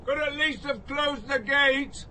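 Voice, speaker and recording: An actor playing a lonely, prickly recluse in a radio play, blunt and defensive, with a Lancashire accent. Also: male voice, very high-pitched, a little slow, recorded from a distance, background noise. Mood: sullen, wounded. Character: prickly, lonely reclusive man obsessed with dominoes.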